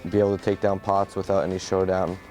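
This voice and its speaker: A man's voice: low voice, level tone, fast talker, a little mellow, faint music behind. A man from New Jersey, a professional poker player giving an on-camera interview.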